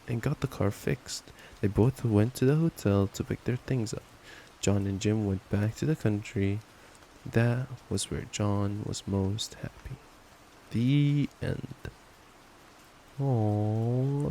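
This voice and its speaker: A man's voice: mid-range voice, slow pace, warm tone. Affectionate young man over noisy rain ambience. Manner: affectionate, playful, stumbling reader given to giggly asides. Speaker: ASMR roleplay performer playing a boyfriend. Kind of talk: ASMR boyfriend roleplay, reading a bedtime story.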